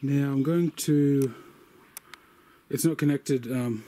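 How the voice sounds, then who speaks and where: A man's voice: mid-range voice, takes it slowly. A man casually narrating his off-grid building project outdoors, easygoing with plenty of ums. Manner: relaxed, easygoing, lots of ums and yeahs. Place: outdoors in bushland and a work shed.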